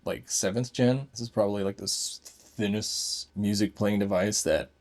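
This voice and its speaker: A man's voice: fairly low pitch, steady tone, moderate pace. A man giving a casual, unscripted hands-on gadget review, thinking aloud in short fragments.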